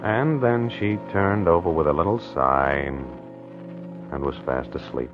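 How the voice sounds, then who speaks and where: An actor playing a haunted, obsessive husband in a halting, confessional 1940s radio drama style. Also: male voice, low voice, animated, over loud music. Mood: brooding, haunted. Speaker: actor narrating in character. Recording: muffled old 1940s radio recording.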